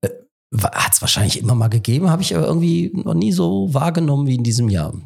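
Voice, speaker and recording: Husky man with a head cold. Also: fairly high pitch, very animated, darkish tone. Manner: thinks aloud with many pauses and fillers. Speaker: podcast host. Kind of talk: casual conversation. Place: kitchen in a rectory.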